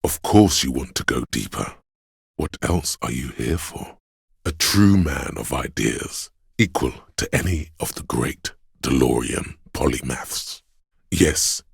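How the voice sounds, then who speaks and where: Pompous, extremely deep gravelly aesthete. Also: male voice, no echo, clean studio sound, heavily acted. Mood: haughty, intrigued. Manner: pompous, deliberate, disdainful, florid. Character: pompous inner voice of artistic taste, snobbish aesthete. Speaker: video game voice actor. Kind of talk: scripted game narration, theatrical.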